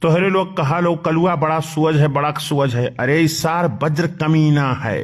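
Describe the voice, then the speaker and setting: A man's voice: fairly high pitch, steady tone, normal speed, close to an everyday voice. Man playing a grumbling cartoon village husband. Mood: rueful indignation. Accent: Awadhi. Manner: sweet-talking, grumbling. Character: flirtatious, hapless village husband, grumbling and scheming. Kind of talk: comic cartoon dialogue.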